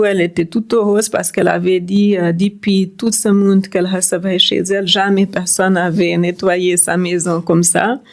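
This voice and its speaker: Middle aged cape verdean woman, creole native, lowish voice, telling her life story on a podcast, repeats words for emphasis. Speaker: podcast guest. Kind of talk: personal testimony in conversation.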